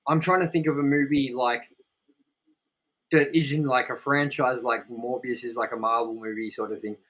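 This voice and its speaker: A man's voice: mid-range voice, steady tone, normal speed, a bit bright. A man co-hosting a casual, opinionated film review chat, speaking in a blunt, sweary, exaggerating way. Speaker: co-host of a movie review show.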